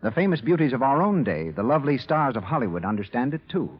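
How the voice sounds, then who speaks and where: A muffled old radio recording features a male announcer with a husky voice. His delivery is formal and animated, in the scripted showman manner of a 1930s host. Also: medium pitch, quick pace.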